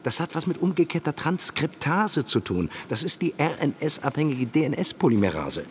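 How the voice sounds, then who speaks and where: A man's voice: fairly high pitch, sing-song, unhurried, slight background noise. An actor playing a vain, self-important scientist who confides in his listeners in a radio play. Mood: smug insistence. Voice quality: husky. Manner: confiding, addresses listeners as pals. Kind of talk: radio play, first-person account.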